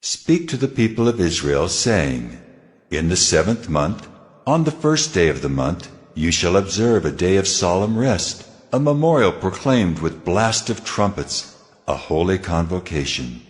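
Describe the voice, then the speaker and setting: A deep, echoing, commanding God. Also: male voice, very animated. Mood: solemn, commanding. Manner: solemn, measured, commanding. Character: God, commanding solemn lawgiving male deity. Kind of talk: dramatized scripture reading. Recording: long cavernous reverb, faint music.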